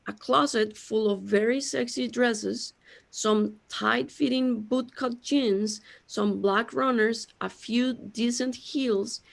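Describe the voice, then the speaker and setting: A woman acting an anxious, bewildered character overwhelmed by existential dread in a sci-fi audio drama. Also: fairly high pitch.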